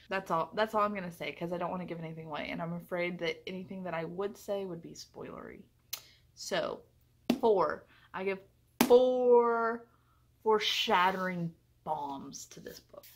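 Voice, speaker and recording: A woman giving a casual, chatty book review, effusive and emphatic, often repeating phrases for emphasis. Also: mid-range voice.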